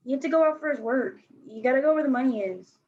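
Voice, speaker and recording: Brash teen skater girl, played by a woman, high and raspy, sarcastic, stage reading, muffled recording. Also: fast talker. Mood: cocky, defensive. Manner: blunt, slangy, sarcastic. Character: brash fourteen-year-old girl skateboarder, slangy, defensive, struggling. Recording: dull lo-fi recording.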